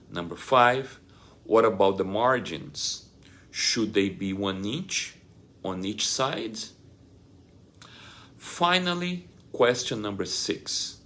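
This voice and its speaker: A middle-aged man presenting a scripted explainer to camera in a deliberate, measured, formal way. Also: lowish voice, a bit bright. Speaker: host of an advice channel.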